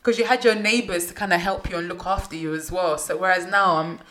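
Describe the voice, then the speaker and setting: A woman's voice: on the low side, fairly even intonation, fast, fairly bright tone. A woman from Nigeria, hosting a casual chat and telling her stories with animation. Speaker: host.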